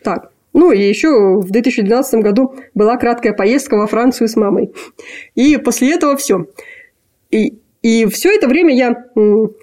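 Young woman from kazakhstan, kinda higher voice, warm, lots of umms and pauses. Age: young adult. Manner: hesitant, many fillers and pauses. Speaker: interviewee, PhD student. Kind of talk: casual conversation.

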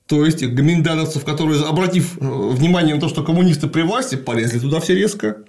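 Man, medium pitch, very animated intonation, lecturing, explanatory, many fillers. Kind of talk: explanatory history talk.

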